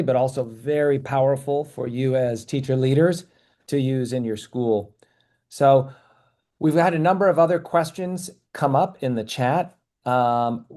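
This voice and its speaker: Mellow-voiced man hosting a webinar. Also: medium pitch. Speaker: webinar host. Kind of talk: webinar presentation and Q&A.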